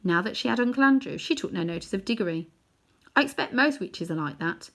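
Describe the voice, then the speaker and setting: A woman reading a children's novel aloud in an expressive storytelling manner. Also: mid-range voice, a little fast, gravelly. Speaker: storybook reader.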